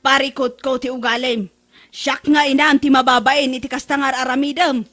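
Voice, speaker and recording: A woman's voice: high voice, flat delivery, normal speed, a bit bright, faint music behind. A woman with an Ilocano accent playing a fretful, scolding mother in a comedy radio drama. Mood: worried scolding.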